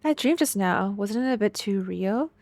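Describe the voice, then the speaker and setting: A woman streamer reading a game's narration aloud in an expressive storytelling way, with casual reactions. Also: medium pitch, moderate pace, no room sound.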